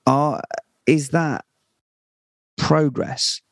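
A man chatting casually about football on a podcast, joking and animated. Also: a little high, extremely slow, dry recording. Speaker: podcast co-host.

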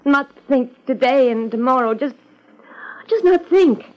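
An actress playing a frightened, evasive young heiress in a 1950s radio drama, hesitant and pleading. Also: female voice, sits high, animated, measured pace. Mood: anguished. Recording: dull 1950s radio recording.

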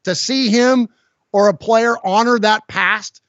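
Earnest forty-something man, a bit high. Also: slow, fairly bright tone, no room sound, lo-fi sound. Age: 40s. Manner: earnest, stammering restarts, heartfelt. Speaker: podcast guest, fellow podcaster. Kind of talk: casual podcast chat.

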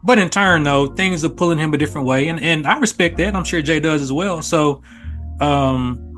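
An American man talking casually and thoughtfully on a podcast, explaining himself at length in measured phrases. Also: fairly high pitch, fairly bright tone, faint music behind. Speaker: podcast co-host appearing as guest. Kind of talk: casual reflective podcast conversation.

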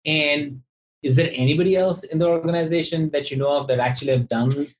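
A man's voice: on the high side, fairly quick. Casual male podcast host. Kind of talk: casual interview chat. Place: office.

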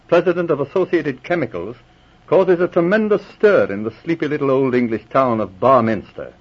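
A man's voice: a little high, husky. A man narrating a 1940s radio drama as its announcer, in a formal, declamatory scripted style. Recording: muffled old radio recording.

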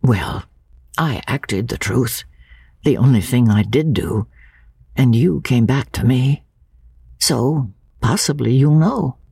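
Young English husband, performed by a woman for classic audiobook: playful, content, softly teasing, deep-set and breathy. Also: animated, takes it slowly, long pauses, dry recording, studio quality, heavily acted. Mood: gentle. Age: young adult. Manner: murmuring, teasing, gentle. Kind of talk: dramatic audiobook reading.

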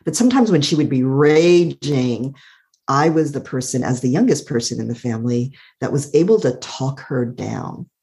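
A middle-aged woman with a calm, soothing voice, thoughtfully telling her life story as an interview guest and laughing often. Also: a bass voice, normal speed. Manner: warm, thoughtful, laughs often, uses "like" a lot. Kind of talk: reflective personal storytelling.

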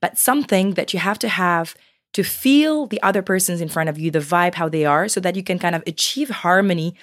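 A woman with a Brazilian accent, co-hosting a podcast in animated casual conversation, often laughing and saying "you know". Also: lowish voice, a little fast, dry recording, clean studio sound. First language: Brazilian Portuguese. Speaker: podcast co-host.

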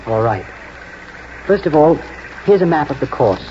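A man playing an earnest, protective young horse trainer in a 1940s radio drama, urgent and halting as he instructs. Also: a little high, very animated, dark tone. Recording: muffled, noisy old 1940s radio broadcast.

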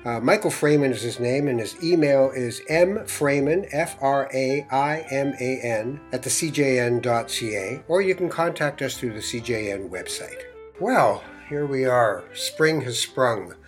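A middle-aged man born in Morocco, hosting a podcast interview in a relaxed, meandering way with frequent fillers. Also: mid-range voice, unhurried, soft background music. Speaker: podcast host. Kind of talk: conversational interview.

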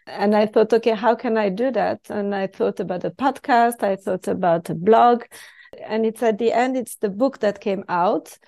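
Woman, French accent, native French speaker, mellow tone, noticeable vocal fry, conversational interview guest. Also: mid-range voice, normal speed. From Switzerland. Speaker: podcast guest, expat coach.

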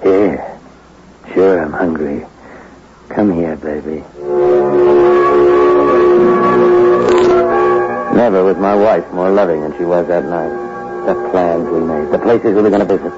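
A man playing a slangy small-time crook, tough yet besotted, in an old radio thriller. His voice sits low, with loud music on a dull recording. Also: moderate pace, close to an everyday voice. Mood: dreamy. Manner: tough, world-weary, slangy. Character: hard-boiled, lovestruck small-time crook telling his story. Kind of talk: 1960s radio crime drama acting.

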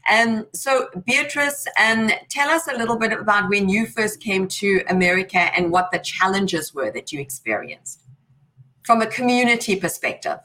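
A woman's voice: mid-range voice, lively intonation, normal speed, pushed and bright, poor audio. A woman hosting an interview, asking questions with frequent ums and easy laughs. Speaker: podcast host.

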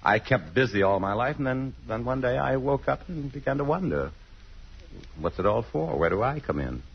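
A man's voice: medium pitch, lively intonation, moderate pace, gravelly, slightly rough, exaggerated voice. An actor in an old-time American radio drama, playing a restless middle-aged married businessman who is earnestly in love. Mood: wistful. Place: radio theatre stage. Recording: muffled, hissy vintage radio recording.

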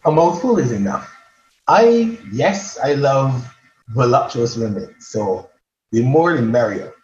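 A man's voice: medium pitch, expressive, takes it slowly, darkish tone. A man from Barbados with a Bajan accent, chatting casually and playfully as a guest on a remote podcast. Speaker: podcast guest, poet and author. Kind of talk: casual interview chat. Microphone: remote virtual podcast call. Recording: roomy, dull online call, faint noise.

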